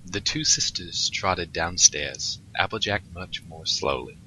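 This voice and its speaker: A man's voice: sits low, unhurried, bright, forceful tone, slight background noise, poor audio. A man narrating a scripted story aloud as a co-host. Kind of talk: scripted fan fiction narration.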